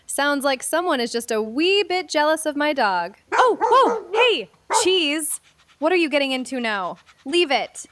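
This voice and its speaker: A woman's voice: high-pitched, sing-song, measured pace, fairly bright tone, a little hiss or hum, heavily acted. A woman playing a brash, cocky young dog owner who boasts and wisecracks in an audio drama. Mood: playful, excited. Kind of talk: scripted fiction podcast acting.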